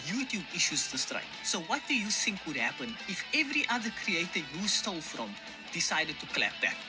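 A man's voice: high voice, very animated, brisk, music in the background, rough recording. A man delivering a scripted, profane callout of a rival creator, scornful and mocking. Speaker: YouTuber calling out a rival.

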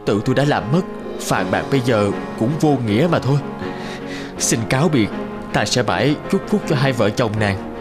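An actor playing a remorseful, flustered former husband in an animated folk tale, speaking in a halting, regretful way. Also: male voice, mid-range voice, music in the background, natural voice. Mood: resigned.

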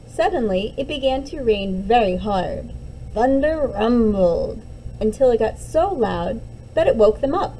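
Female storybook reader, high and lively, mellow tone, some background noise. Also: a little slow, low-quality recording. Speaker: teacher reading a picture book aloud. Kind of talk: expressive storybook read-aloud.

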